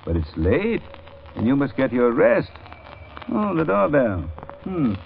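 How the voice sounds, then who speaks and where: Creaky, kindly old professor. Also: male voice, medium pitch, huge pitch swings, slow, exaggerated voice. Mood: kindly, preoccupied. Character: kindly, absent-minded older male professor working late. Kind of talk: old-time radio drama acting. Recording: muffled, hissy vintage radio recording.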